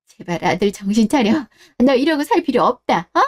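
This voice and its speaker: Radio drama mother-in-law, played by a woman: older, domineering, indignant, raised pitch, forever scolding. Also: big pitch swings, moderate pace, darkish tone. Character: domineering, scornful older mother-in-law, overprotective of her son. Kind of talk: melodramatic radio drama dialogue.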